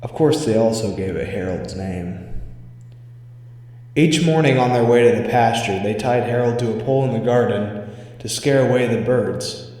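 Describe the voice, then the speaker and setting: A man reads a scripted scary tale as its narrator. His pitch is average for a male voice. The recording is very echoey, with a long hall reverb around every phrase. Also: moderate pace, warm tone. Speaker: story narrator. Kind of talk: scripted spooky story reading.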